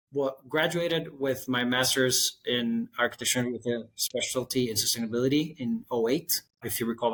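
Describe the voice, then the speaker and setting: A man in his thirties from Costa Rica, an architect guest telling his career story in a relaxed, winding way. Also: mid-range voice, rough recording. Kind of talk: conversational interview.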